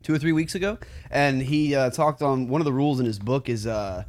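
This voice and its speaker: A man's voice: medium pitch, a little fast, a little mellow. A man in his late twenties, a musician, talking in an earnest, reflective way with lots of fillers in a casual podcast chat. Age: 20s.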